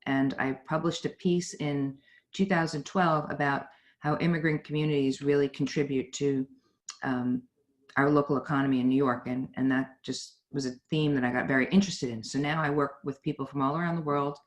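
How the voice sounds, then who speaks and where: A middle-aged woman with a low-pitched voice hosts an informal livestream talk. She speaks in a rambling way, often breaking off and circling back to another point. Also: steady tone, fairly quick. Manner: rambling, digressing, frequently jumping between points. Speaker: founder and host of a business network talk.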